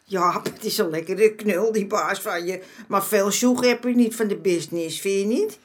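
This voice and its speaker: A woman's voice: mid-range voice, normal speed, close to an everyday voice. An actress playing a streetwise, motherly older woman in a radio drama, talking bluntly and familiarly. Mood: frank, knowing. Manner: familiar, blunt, calls men "jongen". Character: streetwise, motherly older woman of the red-light district, frank. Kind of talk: naturalistic Dutch radio drama acting.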